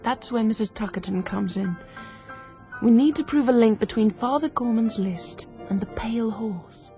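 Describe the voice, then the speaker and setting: An actress playing a keen, quick-witted young woman turned amateur sleuth in a radio drama, brisk, confident and persuasive. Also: fairly high pitch, dark tone, soft background music, close to an everyday voice. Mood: excited, intent.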